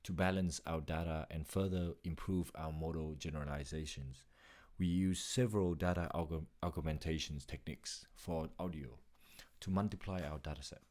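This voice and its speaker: A man's voice: extremely low pitch, steady tone, normal speed, darkish tone. A man reading a scripted narration for a slide presentation as its presenter.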